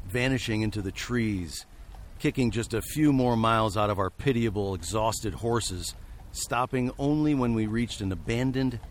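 Man as low-voiced, haunted western fugitive. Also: a little hiss or hum, lightly acted. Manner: literary, wry, wordy. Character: haunted frontier hero turned weary fugitive, recalling adventures. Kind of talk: scripted first-person radio drama narration.

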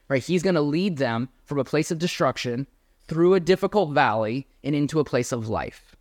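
A man's voice: a little high, dry recording, studio quality. Man preaching an earnest sermon. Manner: earnest, emphatic, addresses the congregation directly. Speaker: pastor.

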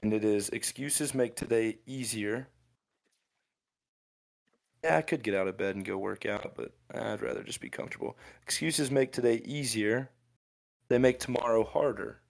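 Gravelly young man ranting on a podcast. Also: medium pitch, normal speed. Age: 20s. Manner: rambling, ranting, with frequent restarts. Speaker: podcast co-host. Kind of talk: casual motivational podcast chat.

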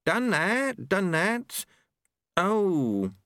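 High-pitched angel played by a man, fussy, anxious muttering, flustered, storybook fantasy, dry sound. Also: wildly swooping pitch, drawn-out words, fairly bright tone, no echo, exaggerated voice. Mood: distracted searching. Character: fussy, flustered angel reading an iron book.

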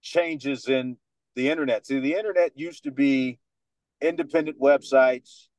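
A man in his thirties with a Dominican American accent, speaking as a podcast guest in a confident, animated way. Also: mid-range voice, measured pace, no echo, low-quality recording. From United States, New York. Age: 30s. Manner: confident, animated storyteller who acts out other people's lines. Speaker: guest, sports radio host. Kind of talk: casual podcast interview.

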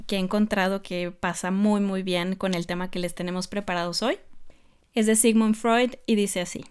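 A woman hosting a podcast, reading a scripted introduction and then welcoming her guest in a measured way. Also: medium pitch, clean tone. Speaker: podcast host.

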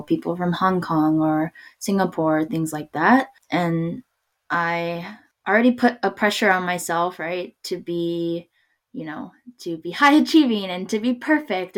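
A woman talking in a relaxed conversation, hesitating and restarting often, with little laughs between thoughts. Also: on the low side. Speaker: podcast guest. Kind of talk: casual conversation.

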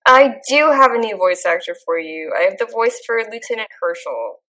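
A young woman co-hosting a podcast, chatting casually and gushing with animated energy. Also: mid-range voice, rough recording. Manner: animated, gushing, quick laughs. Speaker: podcast co-host. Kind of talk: casual chat.

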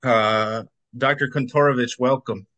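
Bright, forceful-voiced male podcast host. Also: medium pitch, fairly even intonation, measured pace. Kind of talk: scripted introduction and interview questions.

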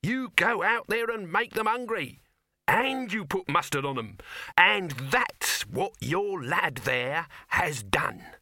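A man acts out an excitable male huckster from a comic storybook. He pitches grandly and pushily, pitched up and swooping, with a bright, forceful edge. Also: slow pace, heavily acted. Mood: boastful, pushy. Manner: forceful, grandiose sales patter. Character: excitable, pushy showman and fast-talking huckster, male.

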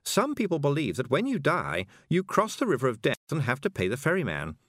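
A man voicing a middle-aged woman witch who speaks slowly, calmly and patiently. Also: on the high side, no echo, studio quality, pitched above the performer's own voice. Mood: measured. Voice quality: slow, measured, patient.

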